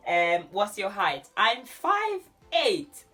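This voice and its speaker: A woman in her thirties with a Jamaican accent, chatting casually to camera in an animated way as she answers questions. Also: mid-range voice, slow pace, soft background music. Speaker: YouTube vlogger. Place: small furnished room at home.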